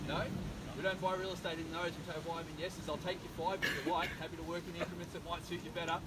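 An Australian man in his thirties working as an auctioneer, running a live property auction with brisk, persuasive sales patter on a suburban street. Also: a little high, little pitch movement. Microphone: far camera, no microphone. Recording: distant outdoor camera audio, loud street noise.

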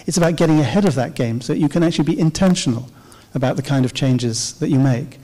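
Middle-aged male keynote speaker, warm mellow tone, measured delivery, handheld mic in a big reverberant hall. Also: on the high side. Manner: measured, explanatory, occasional "uh" pauses. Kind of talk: conference keynote talk. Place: large dark auditorium stage. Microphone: handheld microphone.

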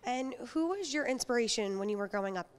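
A woman's voice: fairly high pitch, animated, fast talker, clear, resonant tone. A young adult woman interviewer asking prepared questions. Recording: audible ambient building noise.

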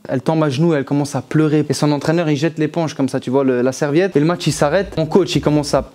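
Fast-talking young man telling a story. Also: on the high side. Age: young adult. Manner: animated, expressive storyteller. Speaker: YouTuber. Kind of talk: storytelling vlog. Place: dim bedroom with warm lamps.

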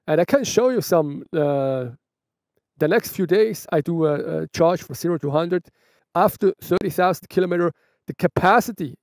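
A man's voice: high-pitched, darkish tone, no echo. A man from Switzerland with a Swiss German accent, answering viewer questions in a casual vlog outdoors, chatty and often restarting his sentences. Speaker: motovlogger. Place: outdoors by a roadside bench.

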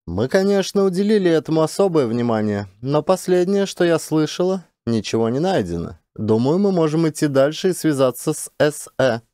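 Casting: man as authoritative woman commander, sci-fi audiobook, firm and pragmatic, voice lifted high, dry sound. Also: expressive, a little fast, few pauses, dark tone, no echo, lightly acted. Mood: businesslike. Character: brisk, authoritative female space expedition commander.

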